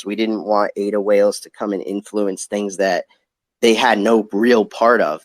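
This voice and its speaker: Man, lower-pitched voice, dry close sound, informal explainer, interview guest. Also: no room sound. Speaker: company executive guest. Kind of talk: casual interview answers. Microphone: online audio chat.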